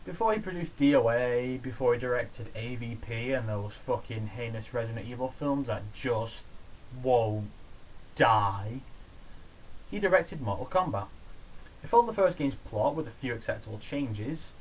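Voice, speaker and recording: A man's voice: mid-range voice, expressive, normal speed, rough recording. Man doing countdown commentary over hiss. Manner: opinionated, casual, sweary. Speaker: host of a video review show. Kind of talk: scripted countdown commentary on films.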